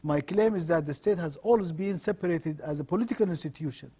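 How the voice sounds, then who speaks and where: Husky, high-voiced man from Sudan. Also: fast, mellow. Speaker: guest, law professor. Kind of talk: interview answers.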